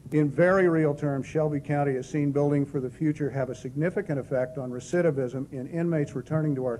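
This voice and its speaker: Formal man presenting, slightly high voice. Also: dark tone, a little hiss or hum, rough recording. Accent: non-Southern. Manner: measured, reads statistics steadily. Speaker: director of corrections presenting a program. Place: hall at a press club.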